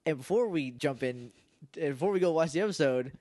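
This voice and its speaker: A man co-hosting a casual podcast, chatty and quick to laugh, with a slightly nasal voice. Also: on the high side, no echo, studio quality. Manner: chatty, laughs often, animated. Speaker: podcast co-host. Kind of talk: casual chat.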